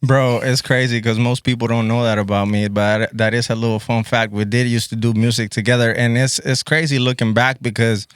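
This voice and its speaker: A man's voice: mid-range voice, steady tone, brisk, few pauses, clear, resonant tone, no echo, clean studio sound. A man in his forties from Guatemala, chatting on a podcast in a laid-back way and calling everyone "bro" and "man". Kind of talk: casual podcast chat.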